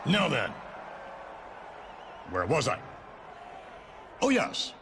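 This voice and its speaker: A man's voice: medium pitch, huge pitch swings, extremely slow, a crowd in the background, background noise, full character voice. A man playing a pompous, self-admiring wrestling company boss in a video game, speaking theatrically. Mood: smug self-praise. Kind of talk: video game cutscene speech.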